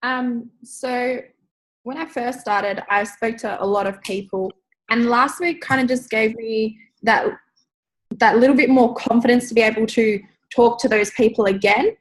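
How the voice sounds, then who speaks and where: A woman's voice: on the high side, fairly even intonation, measured pace, poor audio. A woman on a group video call, sharing a short update in a hesitant, casual way. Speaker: call participant.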